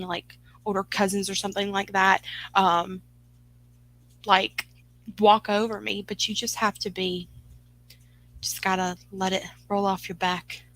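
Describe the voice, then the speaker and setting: A woman's voice: mid-range voice, pushed and bright, poor audio. A woman, chatting casually and encouragingly with viewers on a live stream, rambling with lots of fillers. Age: 20s. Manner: rambling, filler-heavy and upbeat. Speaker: livestream host.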